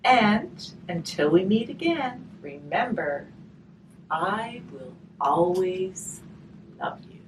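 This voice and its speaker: Lady reading a picture book out loud, lowish voice, real slow, chatty asides, bit far from the mic. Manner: slow, expressive reading with chatty questions to the audience. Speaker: hospice volunteer reading a picture book to children. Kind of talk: read-aloud of a picture book with gentle asides to listeners. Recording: distant mic, faint background noise.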